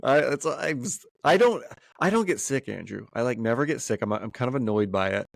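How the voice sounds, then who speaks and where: A young man with a bad cold, co-hosting a casual fantasy football podcast and weighing his picks out loud. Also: medium pitch, expressive, brisk, vocal fry, no echo. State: congested with a cold.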